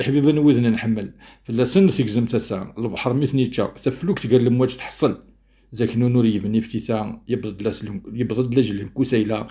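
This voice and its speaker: A man's voice: mid-range voice, mellow. A man with a Kabyle accent solemnly reciting a poem of mourning in rhythmic verse. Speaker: amateur poet. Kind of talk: poetry recitation, elegy.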